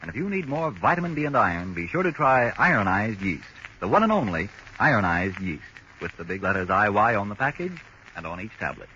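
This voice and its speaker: A man's voice: fairly low pitch, very animated, fairly quick, pushed and bright. A man announcing on a 1940s radio program, in a polished, persuasive manner. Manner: polished, persuasive sales delivery. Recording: muffled 1940s radio broadcast, faint hiss.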